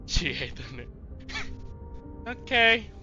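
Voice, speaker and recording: A man's voice: sits high, sing-song, extremely slow, bright, forceful tone, highs cut off. A man streaming a let's play, giving casual, irreverent commentary with plenty of swearing. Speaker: game streamer. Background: faint music.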